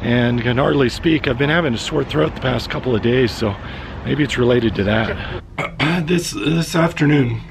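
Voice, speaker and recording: Hoarse, raspy man with a gravelly voice. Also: medium pitch, background noise. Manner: unhurried, thinking aloud with fillers. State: hoarse, losing his voice. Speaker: vlogger. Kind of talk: casual vlog narration while working. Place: inside a step van.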